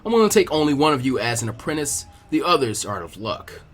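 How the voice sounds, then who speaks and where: A man voicing a gruff, lazy adult ninja instructor in a story reading, dry, unhurried and dismissive. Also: medium pitch, big pitch swings, a bit bright, faint music behind. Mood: blunt. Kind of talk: acted fan fiction reading.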